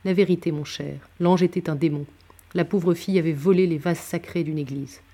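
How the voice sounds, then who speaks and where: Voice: medium pitch, steady tone, brisk, dark tone, close to an everyday voice. A man reading a novel aloud as a sombre, bitter, hard-drinking nobleman musketeer, sardonic and abrupt.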